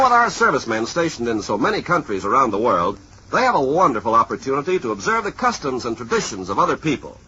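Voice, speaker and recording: An American man with a raspy voice reading a formal, explanatory scripted talk as a vintage radio announcer. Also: mid-range voice, sharp tone, a little hiss or hum. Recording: dull vintage radio broadcast.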